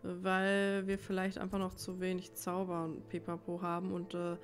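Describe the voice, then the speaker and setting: A woman's voice: fairly low pitch, completely flat delivery, moderate pace. A woman giving casual gameplay commentary, musing to herself in an informal way. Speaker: let's player. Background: game music.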